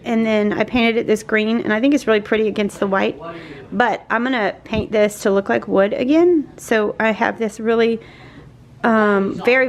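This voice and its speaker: A middle-aged woman chatting casually in a home vlog, hoarse from mild laryngitis and worn out, rambling softly and trailing off. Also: a little high. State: tired and worn out. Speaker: home vlogger. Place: small closed pantry indoors.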